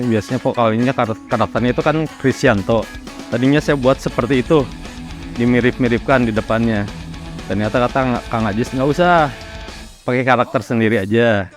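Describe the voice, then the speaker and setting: A man from Indonesia, a rock singer, casually recalling his career in an interview in a halting, reflective way. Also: mid-range voice. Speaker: rock vocalist being interviewed. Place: studio workroom.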